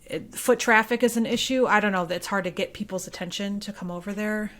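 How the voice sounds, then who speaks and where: A woman's voice: mid-range voice, fairly quick, fairly bright tone. A woman co-hosting a podcast in casual conversation, thinking aloud and hedging her points as she goes. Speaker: podcast co-host.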